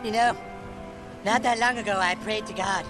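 A man's voice: high voice, fairly even intonation, normal speed, natural voice. A man voicing a whiny, scheming ten-year-old boy in a video game cutscene, over music. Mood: sulky. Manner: whiny, paranoid, sarcastic.

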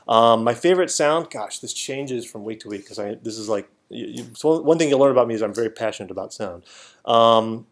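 A man in his thirties from Florida, a professor talking casually to his class, animated, with many restarts and hand gestures. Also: mid-range voice, brisk. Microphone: laptop webcam.

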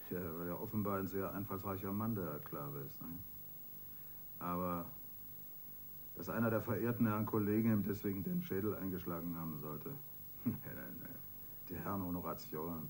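An actor playing a dry, dismissive police inspector in a colloquial radio crime drama style. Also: male voice, low voice, normal speed, pauses often, a little mellow, slight background noise. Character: gruff, sardonic male police inspector.

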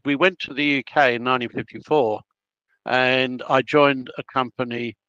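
A man's voice: medium pitch, a bit bright, no echo, muffled recording. A man in his eighties with an Australian accent, a guest on an interview podcast, telling long rambling stories about his career with frequent self-interruptions. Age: 80s. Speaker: guest, project planner. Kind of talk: casual interview storytelling.

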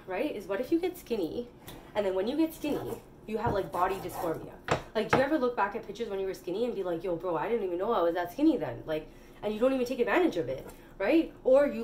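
A woman's voice: fairly low pitch, a little mellow. A woman in her thirties chatting to the camera in a casual, slangy, rambling way as she cooks in a home kitchen. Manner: candid, slangy, conversational with some swearing. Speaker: home cook and vlogger. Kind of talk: casual rambling chat to camera while cooking. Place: small home kitchen, indoors. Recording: home camera audio with clear background noise.

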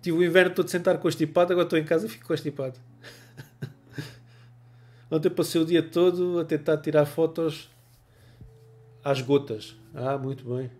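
A man's voice: a little high, fairly even intonation, moderate pace, pauses often, darkish tone. A man in his forties with a European Portuguese accent, chatting casually on a livestream with a cold, laughing often and greeting viewers by name, recorded on a wired earphone mic in a small home room. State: has a cold. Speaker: photography livestream host. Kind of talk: casual livestream chat reading viewer comments.

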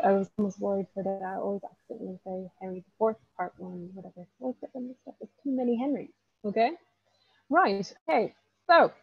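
A woman's voice: medium pitch, husky, no echo, dull audio. Chatty young woman lecturing over a webcam. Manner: chatty, hesitant, many ums and restarts. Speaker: guest lecturer. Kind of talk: informal introductory talk. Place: dim room at home, lamp behind. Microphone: webcam on video call.

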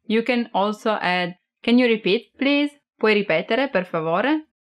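A woman's voice: medium pitch, expressive, a little slow, dry recording. A young woman from Italy with an Italian accent, giving a chatty, playful on-camera language lesson. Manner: chatty, playful, switches between English and Italian example sentences. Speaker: language teacher. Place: small indoor room, plain wall or flag backdrop. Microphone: camera framed head and shoulders.

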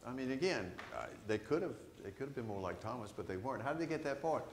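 Older man, priest, lively conversational sermon, booming church echo, faint room hum. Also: fast talker. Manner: animated, gesturing, conversational preaching with questions to the congregation. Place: large church sanctuary. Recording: echoey church sanctuary.

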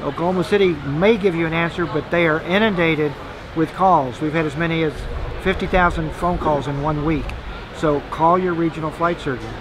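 A man's voice: fairly high pitch, moderate pace, noisy background. An American man in his sixties making an informal appeal to camera as a medical official. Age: 60s. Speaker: physician and government official. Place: exhibit booth in a large indoor hall. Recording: busy exhibit hall chatter.

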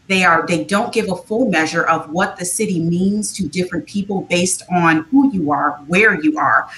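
An American woman in her thirties speaking as a museum panelist, articulate and earnest in measured phrases. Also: sharp tone, rough recording. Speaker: museum community engagement panelist. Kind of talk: panel discussion remarks. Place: small furnished room at home, on a video call. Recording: video call with clear noise and low rumble.

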